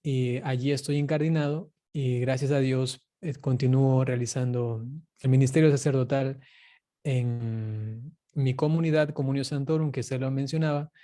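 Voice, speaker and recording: Man, Ecuadorian accent, warm dark relaxed tone, mid-range pitch, earnest, measured, reflective priest in interview.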